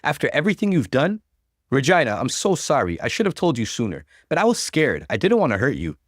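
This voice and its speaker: A man's voice: medium pitch, huge pitch swings, fairly quick, no echo, studio quality, voice pushed up. A man voicing a scheming, seductive woman who pleads melodramatically in a story reading. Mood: tearful pleading.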